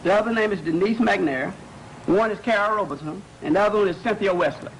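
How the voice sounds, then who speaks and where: A man, a psychologist, answering audience questions at a college conference, animated, often repeating and restarting words and acting out examples. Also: high-pitched, fast talker, a little hiss or hum, poor audio. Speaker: psychologist lecturing.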